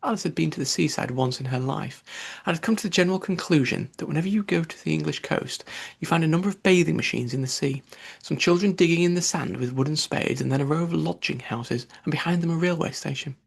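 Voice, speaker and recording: A man's voice: fairly high pitch, fast talker, creaky, slightly rough. A man reading a children's classic aloud as scripted audiobook narration.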